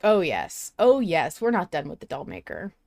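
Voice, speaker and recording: A woman co-hosting a podcast in casual fan discussion, talking in an animated, informal and teasing way. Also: lowish voice, moderate pace, gravelly, dry recording, studio quality. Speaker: podcast co-host.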